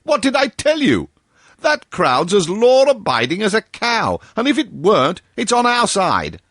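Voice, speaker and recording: A man voicing a jovial, boisterous young male doctor, loud and exclamatory. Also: high-pitched, huge pitch swings, measured pace, slightly rough, no echo, studio quality, pitched above the performer's own voice, exaggerated voice. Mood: mocking glee.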